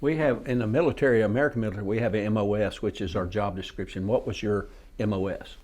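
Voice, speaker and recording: A man's voice: on the low side, fairly even intonation, fast, a little mellow. A man hosting a TV talk show, asking guests questions in a folksy, joking way. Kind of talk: casual TV interview. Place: television studio.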